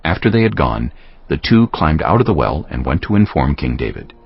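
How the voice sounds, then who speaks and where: A man narrating scripture as a dramatic audio production, in a solemn, deliberate storytelling manner. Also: very deep voice, sing-song, creaky, husky, highs cut off. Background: music.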